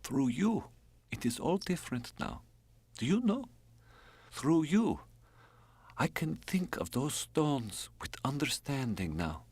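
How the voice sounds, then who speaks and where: A man performs a frail foreign woman in an audiobook, lighter and higher in pitch. Her comes in soft, halting, breathy phrases, often near a whisper. She is tender and wistful, recorded very dry. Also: big pitch swings, dry recording, heavily acted. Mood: distressed, tender. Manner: halting, slightly unidiomatic English.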